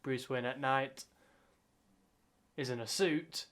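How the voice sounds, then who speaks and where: A young man gives loose commentary as he reacts to a clip, often stopping to restart or correct himself. He talks at an unhurried, drawn-out pace, and his pitch sits in the middle. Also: fairly even intonation, a bit bright. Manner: rambling, halting, self-correcting asides. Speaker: reaction video host.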